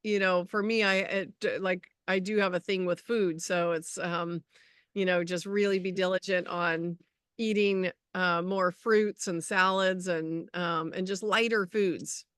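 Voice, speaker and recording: A woman's voice: fairly low pitch, steady tone, measured pace, fairly bright tone, clean tone, dry recording, clean studio sound. A middle-aged woman co-hosting a spiritual talk show in a casual, effusive, agreeable chatting style. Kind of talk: casual chat.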